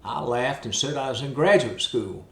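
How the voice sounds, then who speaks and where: An older man from East Tennessee telling stories in a wry, measured way, with formal diction. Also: medium pitch, vocal fry, fairly bright tone. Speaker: storyteller. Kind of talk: folksy scripted storytelling. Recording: roomy echo.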